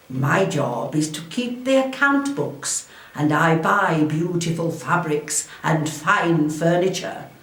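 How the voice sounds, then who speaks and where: Woman as commanding Tudor noblewoman for a historical monologue: stately, unhurried, formal, with expressive sweeping intonation. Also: lowish voice. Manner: formal, deliberate, theatrical delivery. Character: proud, shrewd Elizabethan noblewoman, wealthy and commanding. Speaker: volunteer historical re-enactor. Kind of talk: scripted first-person historical monologue. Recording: echoey room, distant microphone.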